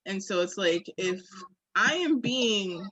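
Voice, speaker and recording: A woman's voice: medium pitch, lively intonation, slow pace, a bit bright, husky, no room sound, low-quality recording. A woman co-hosting a candid podcast conversation, speaking in a reflective, emphatic and informal way. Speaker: podcast co-host.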